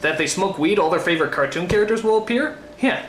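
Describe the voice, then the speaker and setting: Animated American man, exasperated comic reviewer. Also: sits high, quick pace. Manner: exasperated, theatrically incredulous, prone to ranting. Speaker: comedic reviewer hosting a retrospective show. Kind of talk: scripted comedic review commentary. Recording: roomy echo, faint background noise.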